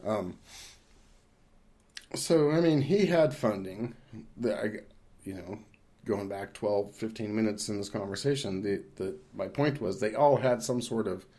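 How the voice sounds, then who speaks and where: A man's voice: fairly low pitch, expressive, measured pace, warm tone. A man talking casually as a podcast host, in a rambling, informal and opinionated way. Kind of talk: casual discussion of games.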